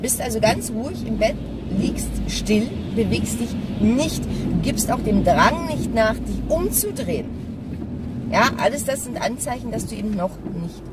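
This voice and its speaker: A woman's voice: on the high side, expressive, a little slow. A middle-aged woman chatting casually in a vlog while driving, explaining things directly to the viewer. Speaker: vlogger. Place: inside a small car, driving. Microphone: dashboard-mounted camera. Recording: distant mic, loud car noise. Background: car and road noise.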